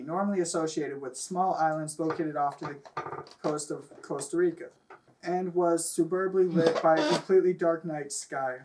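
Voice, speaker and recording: A man's voice: on the high side, a little mellow. A man reading a comic story aloud as a host, stumbling now and then, recorded on a camera microphone. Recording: noisy distant camera microphone.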